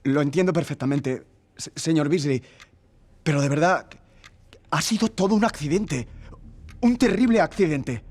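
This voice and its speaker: A man's voice: fairly high pitch, animated, moderate pace, pauses often, darkish tone. An actor playing an anxious young male university student, pleading in a nervous, deferential way.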